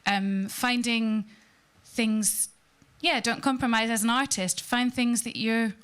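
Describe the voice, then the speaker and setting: Woman, panelist, slow delivery, smooth clear voice, frank and emphatic. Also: a little high, fairly even intonation, a bit bright. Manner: frank, emphatic, searching for words. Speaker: artistic director, panelist. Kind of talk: panel remarks. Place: theatre symposium panel.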